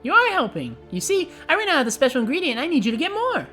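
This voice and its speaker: A woman voicing a giddy, hyperactive female pony with a squeaky, bubbly voice and an undertone of menace. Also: very animated, fast, sharp tone, faint music behind, heavily acted. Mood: sinister glee.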